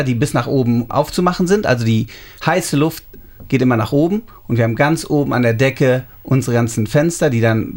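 Man, thirties, from Germany, mid-pitched voice, unhurried explaining, interview answers. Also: darkish tone. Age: 30s. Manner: explanatory, unhurried, describing details. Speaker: interviewee, homeowner.

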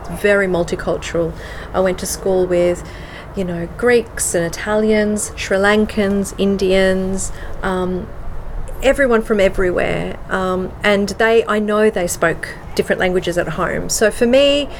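Aussie woman being interviewed, lots of ums and pauses, slight background hiss. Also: medium pitch, rough recording. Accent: Australian. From Australia. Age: adult with children. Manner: hesitant, many ums, switches between English and Slovenian. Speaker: guest. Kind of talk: casual interview.

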